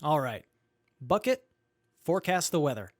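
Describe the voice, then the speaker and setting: A man's voice: a little high, big pitch swings, normal speed, no room sound, studio quality. A man playing an earnest, naive young tinkerer with a guileless manner in an audio drama.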